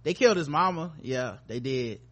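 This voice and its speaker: A man's voice: mid-range voice, expressive, normal speed, fairly bright tone. A man hosting a podcast in casual, joking banter with informal, profane language. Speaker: podcast host.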